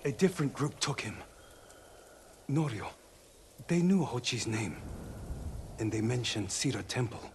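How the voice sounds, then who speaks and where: An actor playing an anxious, humble captive who speaks urgently and respectfully in a video game drama. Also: male voice, mid-range voice, animated, unhurried, pauses often, a little hiss or hum. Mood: relieved, urgent.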